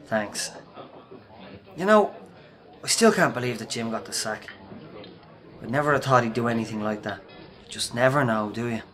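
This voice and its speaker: A man's voice: mid-range voice, normal speed, pauses often, fairly bright tone, lightly acted. A man acting in a radio drama as a chatty, fair-minded male workmate, talking casually and plainly. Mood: curious. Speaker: actor in a radio play.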